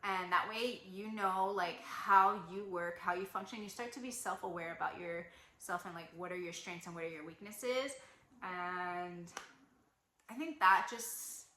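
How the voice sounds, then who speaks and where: Young woman, travel vlogger, casual advice chat, forceful bright voice, roomy echo. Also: fairly low pitch, a little slow, a little hiss or hum. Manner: chatty, lots of "like" and "you know". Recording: echoey room.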